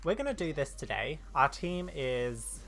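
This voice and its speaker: A woman's voice: sits low, expressive, a little slow, faint music behind. A woman doing casual, rambling let's-play commentary as host of a gaming video. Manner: chatty, rambling.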